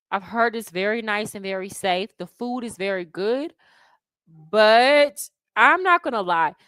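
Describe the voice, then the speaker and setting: A young American woman hosting a casual livestream, chatty and slangy, answering viewers' questions with animated asides. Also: fairly high pitch, no room sound. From United States. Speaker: livestream host answering chat. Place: home abroad.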